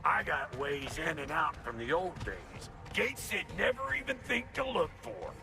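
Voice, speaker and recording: A man voicing a brash, cantankerous dwarf blacksmith in a video game, crude and blustering in a gravelly voice. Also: a little high, big pitch swings, measured pace, over music, exaggerated voice. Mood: cocky, grumbling. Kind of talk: animated video game acting.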